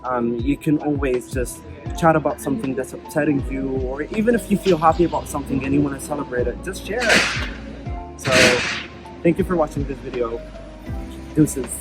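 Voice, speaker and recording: A young man from Kuwait, a medical student, talks in a relaxed, halting way and fills gaps with ums. His voice sits at an ordinary pitch, and loud music plays underneath him. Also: low-quality recording. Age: young adult. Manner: relaxed, hesitant, many fillers. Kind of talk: casual vlog talk.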